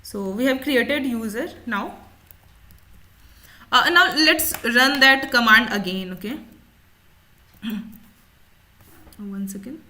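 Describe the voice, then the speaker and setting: A woman instructor explaining a software demonstration step by step, often repeating her points as she goes. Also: on the high side, animated, slow, pauses often.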